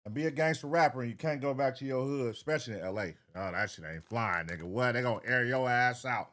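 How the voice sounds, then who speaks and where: A man's voice: medium pitch, quick pace, a bit bright. A man hosting a reaction video, making crude, casual asides about the clip.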